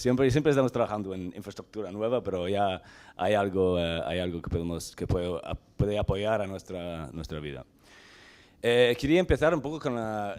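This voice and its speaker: A man from Ireland, a farmer, giving a conference talk in a language that is not his own, halting and searching for words. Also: low voice, a little mellow. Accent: Irish. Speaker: farmer and panel speaker.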